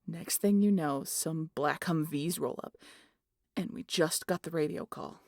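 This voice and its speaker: A woman acting a rowdy, muscular aunt in an audio roleplay. The voice is on the low side and closely recorded with a dry sound, swaggering and teasing as it spins tales. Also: measured pace, vocal fry, no echo. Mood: suspenseful. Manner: swaggering, foul-mouthed, animated storyteller. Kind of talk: ASMR roleplay audio drama.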